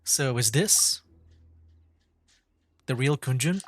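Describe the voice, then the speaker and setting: Casual male game streamer, medium pitch. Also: animated, takes it slowly, slight background noise. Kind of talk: casual live-stream commentary.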